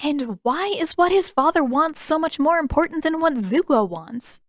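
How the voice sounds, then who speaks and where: Defiant teen girl played by a female narrator, raised pitch, pointed questioning, dry recording. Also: expressive, moderate pace, no echo. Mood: pointed, skeptical. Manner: pointed, inquisitive. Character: defiant, questioning fourteen-year-old girl held captive.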